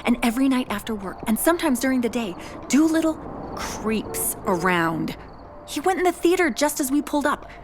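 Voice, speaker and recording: A woman's voice: sits high, very animated, fairly quick, noisy background. An actress playing a determined, suspicious mother turned amateur detective, earnest and insistent, in an audio adventure drama.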